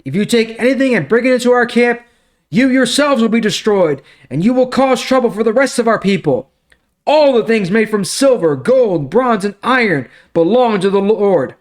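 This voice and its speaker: Man acting out high, urgent commanding leader. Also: expressive, moderate pace. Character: commanding male Israelite leader rallying his people.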